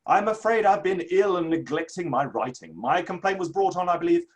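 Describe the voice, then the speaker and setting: A man's voice: high-pitched, expressive, fairly quick. A man in a play reading, acting a florid, theatrical Victorian playwright with a declamatory manner. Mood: aggrieved, declamatory. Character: flowery, theatrical, litigious Victorian playwright and gentleman.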